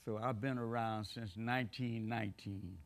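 A man in his eighties, born in the Bahamas, telling his life story slowly and reflectively in an oral history interview. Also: lowish voice, steady tone. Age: 80s.

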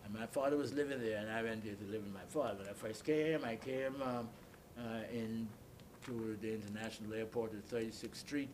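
An elderly man recalls his life in an interview, speaking as a deliberate, halting storyteller who repeats and explains. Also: on the low side, fairly even intonation, a little mellow, slight background noise. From Bahamas. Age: 80s or older. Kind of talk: oral history recollection.

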